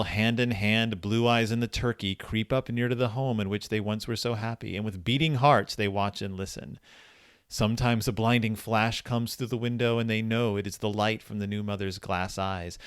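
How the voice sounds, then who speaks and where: A man hosting a casual book discussion, informal and colloquial, retelling and quoting a story in an animated way. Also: fairly low pitch, clean tone, no room sound, studio quality. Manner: animated, acts out quoted dialogue. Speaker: podcast host.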